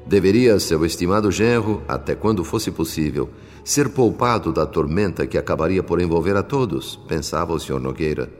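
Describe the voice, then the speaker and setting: A man with a Brazilian accent narrating a radio soap opera in a solemn, measured, dramatic scripted style. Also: deep voice, lively intonation, darkish tone. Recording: long reverb on voice. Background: music.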